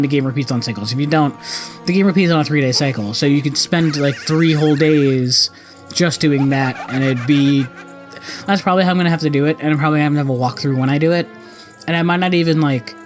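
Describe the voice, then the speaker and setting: A young man giving casual let's play commentary, rambling and often correcting himself as host. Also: a little high. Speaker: gaming video host.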